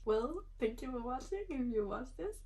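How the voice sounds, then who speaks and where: A woman in her twenties, a non-native English speaker, chatting casually to camera from her bedroom, rambling with many fillers, her phrase ends trailing into a breathy whisper. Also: high-pitched, expressive, moderate pace, warm tone, slight background noise. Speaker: student vlogger.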